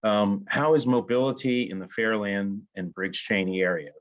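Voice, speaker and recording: A man with a slightly low voice gives a presentation to an online meeting. He follows prepared points but hesitates often, pausing between phrases. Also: highs cut off. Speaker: co-host, presenter. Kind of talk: semi-scripted slide presentation.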